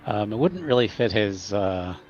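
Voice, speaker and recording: A man's voice: on the low side, lively intonation, normal speed, muffled recording. A man playing a tabletop roleplaying game, talking casually and a little tentatively as he describes his character's actions. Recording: music playing behind. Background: background music.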